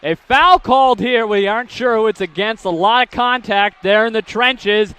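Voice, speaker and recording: A young man calls a basketball game play-by-play, rattling off passes and drives and surging upward on the big shots. His voice is bright and crisp, pitched a touch high. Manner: rapid calls that rise sharply on big plays. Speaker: play-by-play announcer. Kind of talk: live basketball play-by-play commentary.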